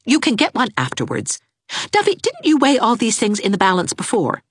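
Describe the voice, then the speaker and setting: A brisk, sharp-tongued young woman giving crisp, sarcastic orders, as a woman reader plays her. Her voice rides a little higher than the reader's own with lively pitch, and the sound is dry and close like a storybook reading. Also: no echo, full character voice.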